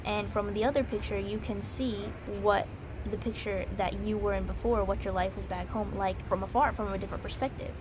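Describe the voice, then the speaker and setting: A woman's voice: mid-range voice, steady tone, brisk. A woman in her twenties with an American accent, chatting informally and reflectively to camera as a student vlogger, rambling and self-aware. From United States. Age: 20s. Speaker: study abroad student vlogger. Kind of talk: casual reflective chat to camera. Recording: clearly noisy recording with ambient background.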